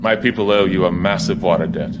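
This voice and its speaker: Man acting proud low-voiced desert warrior chief. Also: a little fast, noisy background, lightly acted. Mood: solemn. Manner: terse, solemn, formal. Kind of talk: dramatic live-action game cutscene acting. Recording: loud music under dialogue.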